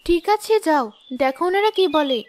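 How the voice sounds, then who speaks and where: A woman voicing a poor, self-sacrificing village mother in a cartoon, earnest and gentle, with music behind. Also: high-pitched, animated, moderate pace, slight background noise. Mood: earnest, dutiful. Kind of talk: moral-story cartoon acting.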